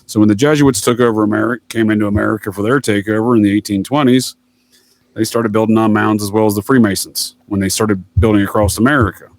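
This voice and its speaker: A man's voice: lowish voice, fairly even intonation, fast talker, no echo, low-quality recording. A man speaking as a podcast guest, laying out theories assertively in conversation. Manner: assertive, addresses listener as "sir". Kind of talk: conspiracy-theory talk in a podcast interview.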